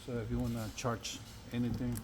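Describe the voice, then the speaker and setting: A man giving a casual, unscripted product review in an offhand, halting way, thinking aloud as he goes. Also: mid-range voice, flat delivery. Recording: loud hum and background noise.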